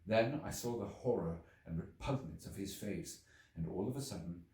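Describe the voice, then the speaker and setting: A man with a low voice gives a dramatic audiobook reading of classic literary prose. His delivery is theatrical and highly varied in pitch. The recording has a clear room echo. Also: moderate pace, a little hiss or hum. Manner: theatrical, expressive storytelling. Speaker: audiobook reader. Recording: roomy echo, distant microphone.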